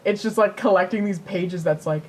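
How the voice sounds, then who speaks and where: A woman's voice: fairly low pitch, normal speed, a little mellow, slight background noise. A woman co-hosting a podcast in casual chat, rambling and repeating herself as she tells things. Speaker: podcast co-host.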